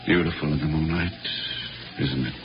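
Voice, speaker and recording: An American actor playing an authoritative but reasonable spaceship captain in a 1950s radio drama. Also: male voice, extremely low pitch, steady tone, moderate pace. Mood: calm, understanding. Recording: muffled old radio recording, music behind.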